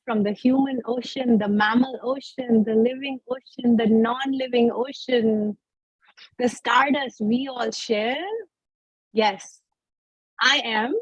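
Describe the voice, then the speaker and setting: A woman from India, a poet, reading her poem and then answering questions in a slow, measured, reflective way. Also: fairly high pitch, breathy edge, dry recording, muffled recording. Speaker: guest poet. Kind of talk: poetry reading and interview answers.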